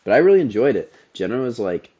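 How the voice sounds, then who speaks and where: A man's voice: mid-range voice, big pitch swings, quick pace, mellow, dull audio. A young adult man co-hosting a podcast, chatting casually about movies, sounding nasal from allergies and rambling in a laid-back way. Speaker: podcast co-host.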